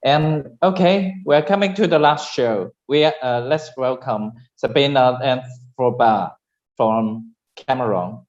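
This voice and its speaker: A man's voice: mid-range voice, slow, a little mellow. A man hosting an online panel, thanking speakers and handing over the floor as moderator. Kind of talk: event hosting. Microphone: online conference call. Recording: muffled online call.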